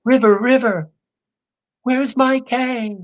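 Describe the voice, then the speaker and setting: A man's voice: fairly even intonation, slow. A man playing an earnest little girl. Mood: pleading. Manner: high, pleading calls. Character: young girl, earnest and hopeful.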